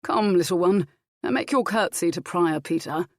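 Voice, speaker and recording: A woman voicing a protective royal duke, commanding yet kindly, with measured formal speech in a historical audiobook. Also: lowish voice, dry recording, studio quality, natural voice. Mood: authoritative.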